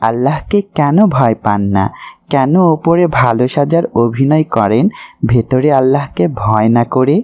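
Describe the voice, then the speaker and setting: A man's voice: mid-range voice, normal speed, darkish tone, natural voice. Man playing an earnest, muffled-sounding pious youth. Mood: stern, reproachful. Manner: earnest, didactic. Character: earnest, pious young man admonishing an elder. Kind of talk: cartoon voice acting, moral preaching.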